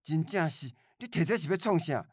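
A man playing a stern, grumpy old grandfather with a Taiwanese accent, gruff and terse, in a radio drama. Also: fairly high pitch, lively intonation, brisk, dry recording, clean studio sound. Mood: angry, scolding. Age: older. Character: stern, grumpy old grandfather, lonely but soft-hearted. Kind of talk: everyday radio drama acting. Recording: music under the voice. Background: new-age music.

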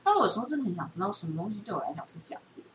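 A woman's voice: medium pitch, very animated, racing, a bit bright. A middle-aged woman with a Taiwanese Mandarin accent chatting casually and candidly as a podcast co-host. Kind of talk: casual chat. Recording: noisy, distant from the microphone.

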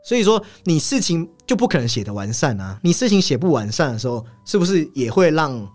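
Animated man discussing film over music. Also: on the high side. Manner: analytical, repeats affirmations in quick strings. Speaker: podcast co-host. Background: background music.

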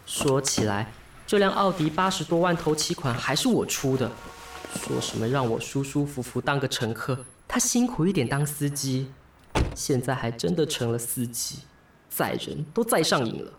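A man's voice: mid-range voice, lively intonation, moderate pace, background noise, close to an everyday voice. Actor as a wry, lovesick young Taiwanese man. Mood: bitter, sarcastic. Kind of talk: radio drama acting with inner monologue.

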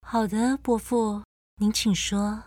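A woman's voice: medium pitch, flat delivery, slow pace, a little mellow, no room sound, studio quality. A woman playing a well-mannered, puzzled, mysterious young lady in a radio drama. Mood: polite, soft. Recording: music under the voice.

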